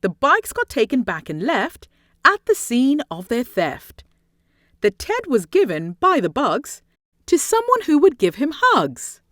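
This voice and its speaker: Woman reading a rhyming storybook, very animated. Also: high voice, no echo, clean studio sound. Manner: theatrical, deliberate phrasing with dramatic emphasis. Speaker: storybook reader. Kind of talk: expressive children's read-aloud of rhyming story.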